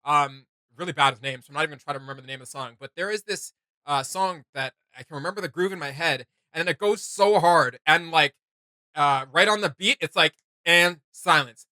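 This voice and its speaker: A man co-hosting a casual reaction video, talking in an animated, rambling way and searching for words aloud. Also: fairly high pitch, brisk, no room sound. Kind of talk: casual rambling discussion to viewers.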